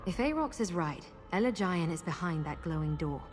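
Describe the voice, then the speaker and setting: Actress as practical female adventurer for a game: lower register, conversational, explanatory, music underneath. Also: animated, normal speed, lightly acted. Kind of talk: video game companion dialogue. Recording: loud music behind.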